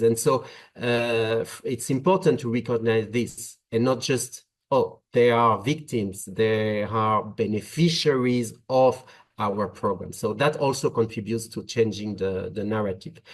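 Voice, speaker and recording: A man from France with a French accent, giving a webinar talk on migration policy, speaking in measured phrases with frequent "uh" fillers. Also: lowish voice.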